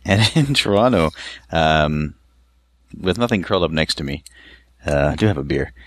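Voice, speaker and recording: A man co-hosting a casual podcast from home, wry and teasing in a low-key way. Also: lively intonation, normal speed, no room sound. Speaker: podcast co-host. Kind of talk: casual chat. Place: at home, speaking over a call.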